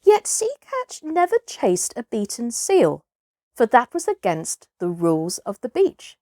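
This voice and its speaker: A woman reading a children's story aloud in an expressive, theatrical way, with deliberate phrasing. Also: fairly high pitch, dry recording, studio quality. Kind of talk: expressive scripted children's audiobook narration.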